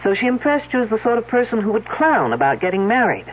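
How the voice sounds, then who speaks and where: An actress playing a sharp detective who questions a witness in a dry, pointed way, in a radio drama. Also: female voice, fairly high pitch, sing-song, fast, husky. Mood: probing. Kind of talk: 1970s radio drama acting. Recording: tinny old radio broadcast.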